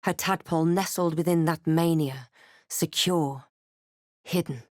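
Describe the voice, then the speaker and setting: Female adventurer for a fantasy RPG: slightly low voice, resolute, thoughtful, dryly snarky, clean studio sound. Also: unhurried, no echo. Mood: brooding, recounting. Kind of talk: dramatic video game narration and character lines.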